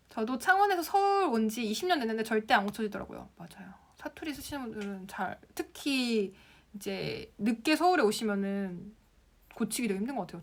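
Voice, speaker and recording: A young woman from the Gyeongsang region teaching Instagram, answering viewer comments on a casual live stream and laughing often. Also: a little high. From Gyeongsang province, Korea.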